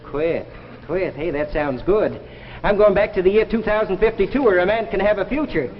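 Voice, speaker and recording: An actor playing a meek but giddy, earnest time traveller in a 1950s radio drama, with a folksy, emotive delivery. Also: male voice, high-pitched, moderate pace, a little hiss or hum, close to an everyday voice. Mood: giddy, defiant. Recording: dull vintage radio recording with music.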